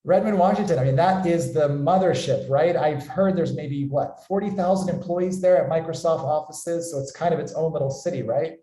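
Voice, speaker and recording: A man's voice: fairly high pitch, normal speed, hardly any pauses, warm tone. An upbeat American man speaking as a company founder, introducing and hosting an online webinar with enthusiasm. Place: indoor room, online webinar call. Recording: roomy, echoey sound.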